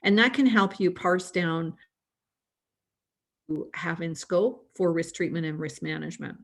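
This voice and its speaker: Canadian woman, slightly low, relaxed chatty expert on a call. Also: a little slow. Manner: chatty, laughs easily, restarts often. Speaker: risk management guest. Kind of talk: conversational expert explanation.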